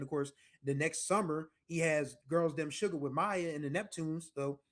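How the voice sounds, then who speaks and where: A man in his forties from the United States, hosting a music show and rambling through casual commentary with asides and self-corrections. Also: on the high side. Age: 40s. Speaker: music podcast host.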